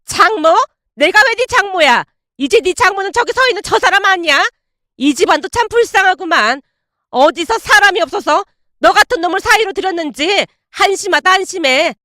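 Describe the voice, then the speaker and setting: A high, loud voice of an enraged middle-aged woman, acted by a female narrator. She scolds with open contempt, as in a storytelling drama. The sound is dry and close. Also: lively intonation, normal speed, no room sound, heavily acted. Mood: furious, scornful. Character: furious middle-aged former mother-in-law, loud and scornful.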